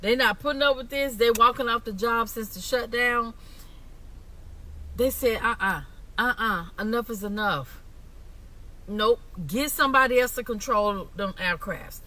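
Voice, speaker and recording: A woman's voice: fairly high pitch, unhurried, pushed and bright. An American woman giving casual commentary in a sassy, emphatic way, repeating her points, on a slightly noisy recording. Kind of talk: casual social media commentary.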